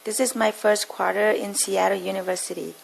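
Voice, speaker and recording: A woman's voice: medium pitch, level tone, brisk, vocal fry, slight background noise, rough recording. A young woman from Vietnam with a Vietnamese accent, giving a prepared classroom presentation in a formal, carefully enunciated manner. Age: young adult. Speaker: student presenter.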